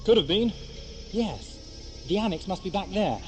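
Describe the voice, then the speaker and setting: An actor playing an eccentric, theatrical time traveller in an audio drama, brisk and self-mocking. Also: male voice, high-pitched, animated, faint music behind. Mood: excited, whimsical. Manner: theatrical, expounding, exclamatory. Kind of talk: 1980s amateur audio drama acting.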